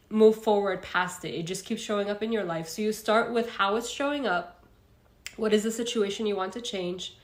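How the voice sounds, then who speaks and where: A young woman from Egypt hosting a casual self-help livestream, talking loosely with fillers and stopping to answer viewer comments. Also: mid-range voice. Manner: chatty, reads out viewer comments, frequent fillers. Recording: roomy echo.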